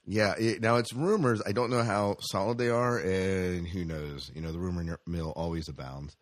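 A man's voice: sits low, fairly even intonation, moderate pace, no echo, studio quality. A man hosting a casual news chat, speaking conversationally and often putting questions to his co-host. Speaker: podcast host reading entertainment news.

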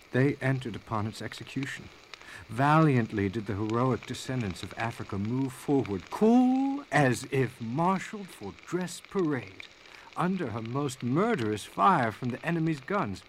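Casting: a proud Union army officer, lower register, measured and emphatic battle report, slight background noise. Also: male voice, rough recording. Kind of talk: dramatic reading of a historical battle report.

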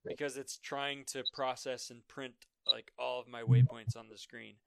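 A man's voice: mid-range voice, flat delivery, creaky, a little mellow, smooth, no echo. A man from Massachusetts, a podcast guest explaining things with many restarts and repeated words. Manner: halting, repeats words while explaining, laughs lightly. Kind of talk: casual conversation.

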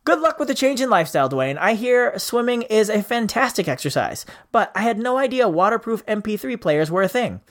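A man's voice: high-pitched, big pitch swings, brisk, few pauses. A man hosting a podcast, reading listener feedback with jokey, self-mocking asides. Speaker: podcast host. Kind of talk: scripted podcast segments.

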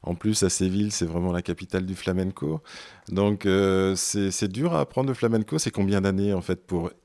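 A man hosting a radio interview, asking questions in a halting way with many repeated words. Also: sits low, mellow. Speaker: radio host.

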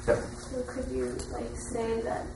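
A woman in an audience hesitantly asking a question, recorded from far away indoors with loud room noise. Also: fairly high pitch, sing-song, slow pace, vocal fry, very echoey.

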